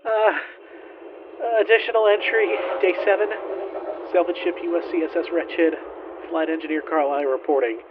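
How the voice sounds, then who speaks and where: A man playing a weary, doomed flight engineer, sardonic and halting, recording a log in a science fiction audio drama with a radio-style effect. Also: a little high, fairly even intonation, lo-fi sound, noisy background. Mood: grim, bitter. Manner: halting, sardonic, swearing, resigned. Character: weary male spaceship flight engineer, doomed lone survivor.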